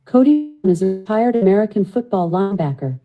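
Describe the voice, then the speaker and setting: A synthesized female voice assistant reading out factual answers in an even, measured way. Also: medium pitch, phone-quality sound. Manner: even, measured, flat delivery of facts.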